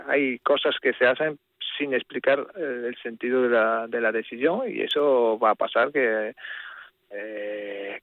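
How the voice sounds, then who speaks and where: A man's voice: medium pitch, no echo. An Italian man speaking Spanish as a second language, hesitant and searching for words as a guest on a radio call. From Italy. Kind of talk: phone interview. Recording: tinny phone line.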